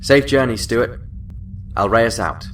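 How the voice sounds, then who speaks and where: A man playing a senior fleet officer in a science-fiction audio drama, speaking in a measured, wry, formal way. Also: mid-range voice, animated, distant mic, slight background noise. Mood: cordial.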